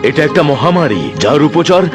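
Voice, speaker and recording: Man as a helpless cartoon minister, lightly high-pitched. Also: animated, a little fast, natural voice. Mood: resigned. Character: helpless, deferential royal minister. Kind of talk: dubbed cartoon fairy-tale acting. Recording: music behind the voice.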